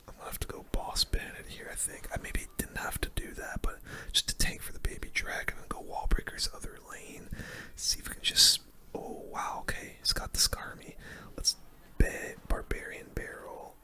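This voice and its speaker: Streamer whispering asmr game commentary, super bright breathy whisper right up on the mic, slangy and informal. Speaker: gaming streamer commentating own matches.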